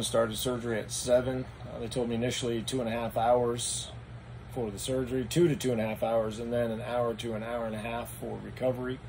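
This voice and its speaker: A man with a raspy, hoarse voice, talking through a casual vlog recap at home in a meandering, matter-of-fact way. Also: mid-range voice, darkish tone, poor audio. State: hoarse after anesthesia. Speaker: vlogger. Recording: noticeable background noise.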